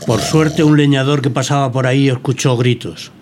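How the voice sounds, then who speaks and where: A man's voice: medium pitch, steady tone, moderate pace, a little mellow, faint music behind. A man from narrating a scripted children's story.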